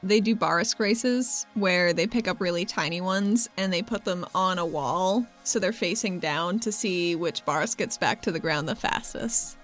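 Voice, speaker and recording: A woman's voice: on the low side, moderate pace, few pauses, fairly bright tone, faint music behind. A woman playing a tabletop game, describing her character in a playful, giggly way. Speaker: player.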